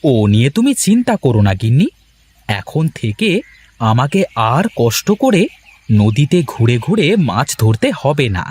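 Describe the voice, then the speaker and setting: Husky, lively cartoon fisherman, cheerful and boastful, as voiced by a man. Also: mid-range voice, normal speed. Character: poor village fisherman turned eager trader. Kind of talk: Bengali cartoon voice acting.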